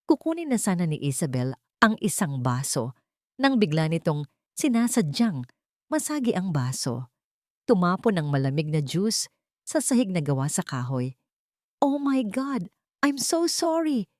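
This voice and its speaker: A woman's voice: sits low, big pitch swings, normal speed, dry recording, clean studio sound. A woman storyteller reading a scripted, dramatic narration of a love story.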